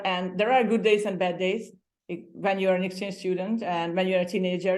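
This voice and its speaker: A woman's voice: mid-range voice, darkish tone. A woman from Hungary with a Hungarian accent, a guest chatting casually in an interview, laughing often and restarting her sentences now and then.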